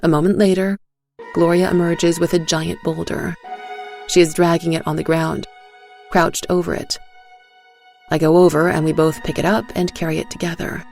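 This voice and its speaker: A woman reading a first-person dramatic story in a suspenseful, deliberate way, as a scripted narrator. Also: fairly low pitch, little pitch movement, vocal fry, darkish tone. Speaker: narrator and voice actor. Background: faint music.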